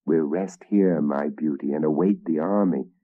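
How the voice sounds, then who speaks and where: A man's voice: very deep voice, moderate pace. A man reading a storybook and voicing an ambitious Danish invader king who speaks in a grandiose, commanding way, in a dull lo-fi recording.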